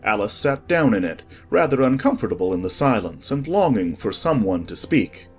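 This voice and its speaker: An audiobook narrator reading a children's story in a measured, expressive scripted style. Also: mid-range voice, faint music behind.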